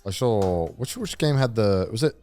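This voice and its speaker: A man's voice: lowish voice, lively intonation, normal speed, mellow, no echo, soft background music. A man co-hosting a gaming podcast, chatting in a blunt, informal way with casual swearing. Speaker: podcast co-host. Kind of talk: casual podcast chat about video games.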